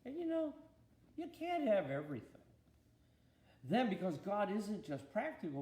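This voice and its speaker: An older man reading a children's storybook aloud in an expressive, grandfatherly way, with deliberate pauses and chatty asides. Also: a little high. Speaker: bishop reading Bible stories to his granddaughter. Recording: echoey room.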